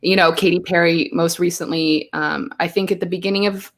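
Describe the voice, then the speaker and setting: A woman's voice: lowish voice, brisk. A woman from Belgium, a choreographer, chatting as a guest on a live show and telling stories with quoted asides. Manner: chatty, animated storytelling with acted-out quotes. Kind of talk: casual interview chat. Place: home, live stream.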